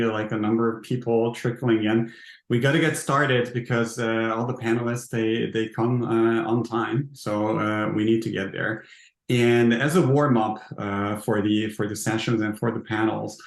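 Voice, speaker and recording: A man from Hungary with a Hungarian accent gives an informal conference presentation as a founder, in halting, repetitive phrasing. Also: medium pitch. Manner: halting, hesitant phrasing with repeated words. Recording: roomy, echoing sound.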